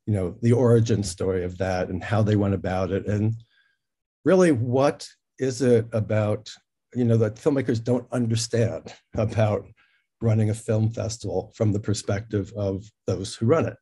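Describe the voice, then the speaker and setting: A man with a fairly low, husky voice hosts a casual conversation. His tone is dark and relaxed, and he talks haltingly, working out his questions as he goes. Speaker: interview host.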